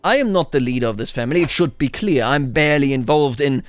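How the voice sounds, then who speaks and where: A man's voice: medium pitch, animated, quick pace, no room sound. A man giving casual roleplay commentary on a video game, musing and narrating it as a story. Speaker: gaming video host. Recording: music under the voice. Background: background music.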